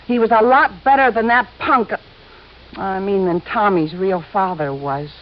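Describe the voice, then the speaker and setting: A woman's voice: medium pitch, measured pace, close to an everyday voice. A woman acting a careworn young widow in a 1950s American radio drama, plaintive, hesitant and defensive, with an American accent. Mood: wistful, loyal. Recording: dull vintage radio recording.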